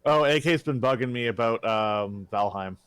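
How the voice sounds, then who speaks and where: A man's voice: mid-range voice, moderate pace, a bit bright, clear, resonant tone. A man co-hosting a gaming podcast, chatting casually in a laid-back, teasing way. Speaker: podcast co-host and game streamer.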